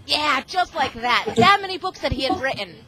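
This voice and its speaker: A woman's voice: sits high, animated, moderate pace, sharp tone. A middle-aged woman reading aloud to a class of children over a video call, exclamatory and often asking them questions. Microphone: video call microphone.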